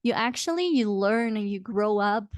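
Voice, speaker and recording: A woman's voice: medium pitch, animated, normal speed, no echo. A woman in her twenties from Belgium, a guest on a remote interview, talking in a chatty way and laughing often. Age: 20s. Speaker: guest, health coach. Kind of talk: casual remote interview chat. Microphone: video call microphone.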